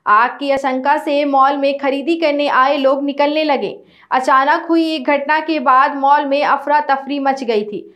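A young woman news anchor reading a scripted report in a steady, formal way from a studio. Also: high voice, measured pace.

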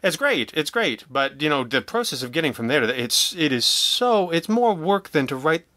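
A man speaking as a guest in a casual interview, wry and self-deprecating, telling things in an animated way. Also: on the high side, normal speed, no room sound. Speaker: interview guest, audio drama writer and producer.

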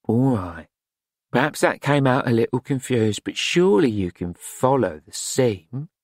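Man doing a flamboyant, sardonic fashion man, raised pitch. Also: animated, a little slow, no echo, studio quality. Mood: exasperated, urging. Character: sharp-tongued, flamboyant, cynical fashion man.

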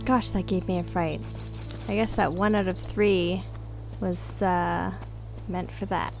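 Female gaming host, casual play-along commentary, noticeable creak, clear game music underneath. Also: on the low side, fairly even intonation, slow.

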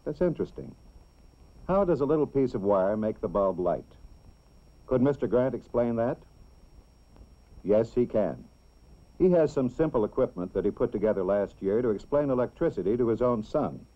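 A man's voice: mid-range voice, animated. American man narrating a dull-sounding old educational film. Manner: simple, deliberate explaining for children.

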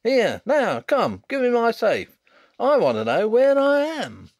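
A man voicing a brash, excitable middle-aged bookmaker who talks loudly and high in an audiobook reading. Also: slow, no room sound, clean studio sound, full character voice. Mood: agitated.

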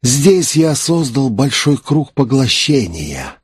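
A man's voice: medium pitch, big pitch swings, slow pace, breathy edge, no echo, clean studio sound, voice pushed up, heavily acted. A man voicing an assured, composed naga necromancer who explains his schemes in an audiobook.

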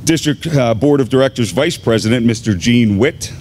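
A man in his fifties, an assistant high school principal, giving a formal, deliberate graduation address at a podium microphone in a large gymnasium. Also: lively intonation, darkish tone, a little hiss or hum. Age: 50s. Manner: measured, deliberate announcing with pauses.

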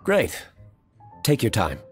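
An actor playing a reserved young nobleman in a video game, dry, measured and understated. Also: on the low side, huge pitch swings, full character voice. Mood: calm, reflective. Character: reserved, cool young nobleman tavern owner. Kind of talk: video game character dialogue. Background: music.

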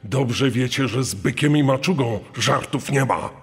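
An actor playing a threatening male bandit in a true-crime re-enactment, with a husky voice. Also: mid-range voice, a little slow, fairly bright tone, exaggerated voice. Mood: menacing. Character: menacing male bandit threatening robbed household. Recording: long hall reverb. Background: music.

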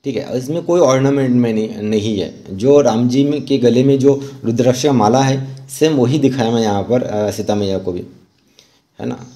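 A man narrating a step-by-step art tutorial as an instructor, often pausing mid-sentence. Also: mid-range voice, warm tone.

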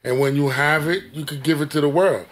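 Man, podcast host, calm and affirming, slightly high pitch, studio mic. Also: fairly quick. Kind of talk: casual interview. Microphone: studio mic on boom.

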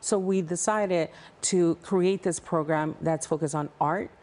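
A woman in her thirties from El Salvador, a nonprofit founder talking passionately as a guest in a TV news studio on a lapel mic. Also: on the low side. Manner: passionate, fast-flowing, animated hand gestures. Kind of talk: TV studio interview.

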